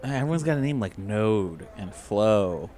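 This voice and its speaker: A man's voice: lowish voice, lively intonation, normal speed, mellow. A man playing a tabletop role-playing game, bantering casually in a geeky way. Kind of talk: casual improvised game chat.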